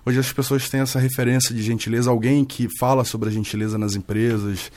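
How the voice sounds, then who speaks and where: A man's voice: medium pitch, fairly even intonation, fairly quick, darkish tone. A man from Rio de Janeiro with a carioca accent, a guest on a radio interview, explaining earnestly and restarting often. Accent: Carioca Brazilian Portuguese. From Rio de Janeiro, Brazil.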